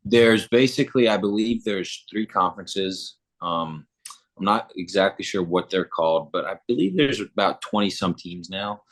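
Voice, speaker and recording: A young man in his twenties from Germany, answering interview questions in a relaxed, upbeat way with frequent filler words. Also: deep voice, normal speed. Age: 20s. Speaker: guest, football player.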